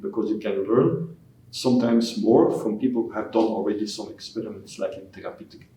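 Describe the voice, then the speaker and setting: Man from Belgium, low mellow voice. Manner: halting, repeats words while searching for phrasing. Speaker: scientist giving concluding remarks. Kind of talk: unscripted interview answers. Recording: echoey hall, mic at a distance, faint noise.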